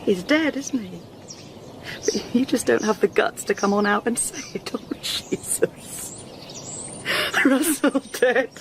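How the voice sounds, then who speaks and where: A woman acting a sharp, highly strung wife in her thirties in a naturalistic radio drama, expressive and insistent. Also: slow pace, slight background noise. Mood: shocked, distraught. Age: 30s.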